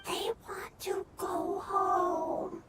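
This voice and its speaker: A performer voicing a small gentle forest creature who speaks in slow, broken, simple phrases. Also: extremely high pitch, level tone, reverberant, heavy background noise, exaggerated voice. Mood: earnest.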